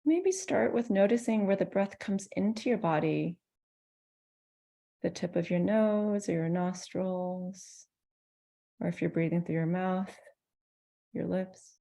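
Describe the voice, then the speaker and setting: A woman leads a guided meditation in a soft-edged, mellow voice with a good deal of creak. Her pitch sits slightly low. She speaks gently and soothingly, leaving space between phrases. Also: slow, long pauses. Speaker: meditation teacher.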